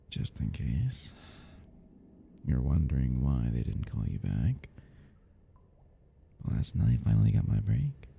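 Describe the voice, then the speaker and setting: A man playing an obsessive, smug and playful stalker in an intimate roleplay audio, husky and gravelly, teasing and drawling, with drops into whispers. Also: low voice, dark tone, heavily acted. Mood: possessive, menacing. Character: obsessive, smug, playful male yandere crime scene cleaner stalker.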